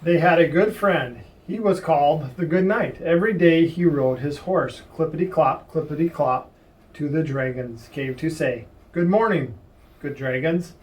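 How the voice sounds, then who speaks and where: Higher-pitched man reading a story, off-mic. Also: measured pace. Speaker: storybook reader. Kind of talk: read-aloud children's story narration. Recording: distant mic.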